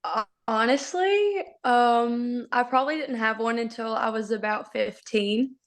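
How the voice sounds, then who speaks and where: A woman's voice: a little high, unhurried. Giggly young woman from Arkansas. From Arkansas, USA. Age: young adult. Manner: giggly, chatty, peppered with "like". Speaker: podcast guest, college softball pitcher. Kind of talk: casual interview chat.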